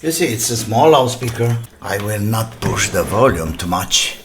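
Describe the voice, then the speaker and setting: A man's voice: lowish voice, animated, moderate pace. A man giving casual hands-on commentary as a repair video host, talking to himself in short phrases and asking and answering his own questions. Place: indoor workbench. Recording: clear background noise with workbench clatter.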